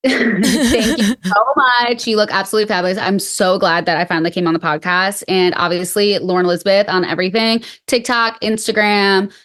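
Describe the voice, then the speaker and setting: A woman's voice: mid-range voice, fairly even intonation, normal speed, fairly bright tone. Chatty Midwestern woman guest. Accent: Midwestern American. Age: 30s. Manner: chatty, filler-heavy, frank. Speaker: podcast guest. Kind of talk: casual chat.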